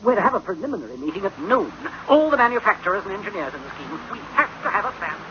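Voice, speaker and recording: An actor playing a grave, anxious engineer in a science fiction radio drama, with an emphatic theatrical delivery. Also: male voice, high-pitched, very animated, quick pace. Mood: urgent. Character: anxious, grave male engineer, dreading disaster. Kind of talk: dramatic sci-fi radio acting. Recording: dull, faintly noisy old radio recording.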